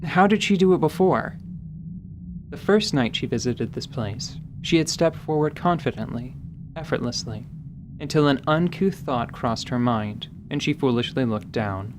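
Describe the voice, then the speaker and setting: A male narrator with a mid-pitched voice tells a fantasy tale in measured, deliberate phrases. The delivery is dramatic and literary, with clear background ambience behind the voice. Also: lively intonation, background noise.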